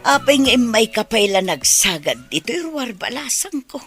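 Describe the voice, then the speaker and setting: An animated, caring older mother. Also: a little high, moderate pace, breathy edge, soft background music, exaggerated voice. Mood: curious, motherly. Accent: Ilocano. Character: caring, pitying older mother of a grown son. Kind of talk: Philippine radio drama acting.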